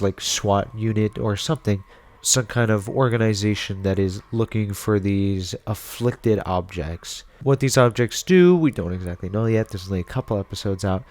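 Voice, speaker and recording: A man's voice: fairly low pitch, a little mellow, no echo. A man giving casual video commentary and recommendations in an informal, rambling, conversational way. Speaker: video host and reviewer.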